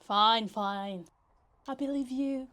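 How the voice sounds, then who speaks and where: A woman's voice: fairly high pitch, slow pace, fairly bright tone. A woman playing a possessive, lovesick yandere young woman in a roleplay ASMR, teasing, pouty and dramatic in casual, conversational speech. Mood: playfully indignant.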